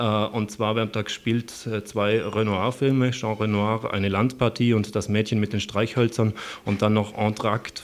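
A man presenting live event listings on community radio, informally and with chatty asides. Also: on the low side. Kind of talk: live community radio announcements, part improvised.

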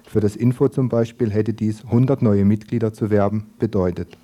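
A man's voice: on the low side, fairly even intonation, normal speed, mellow. A man presenting on community radio, reading a scripted news commentary aloud. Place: radio studio.

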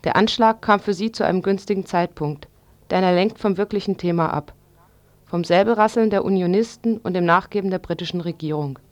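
A woman's voice: lowish voice, a little fast, no room sound. A woman presenting a scripted news commentary on the radio.

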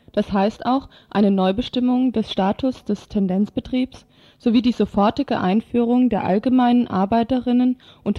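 A woman reading scripted text as a radio reporter. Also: fairly high pitch. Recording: dull lo-fi radio recording.

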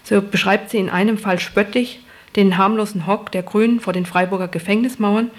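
A woman's voice: mid-range voice, steady tone, normal speed. A woman narrating a scripted news report as a radio reporter.

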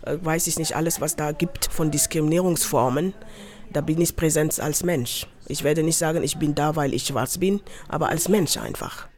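A man's voice: a little high, moderate pace. A man from Senegal, an adult education seminar leader, talking spontaneously in an interview.

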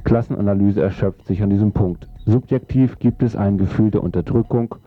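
Low, dry-sounding man reading aloud. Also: fairly quick, dry recording, lo-fi sound. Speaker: reader on a radio programme.

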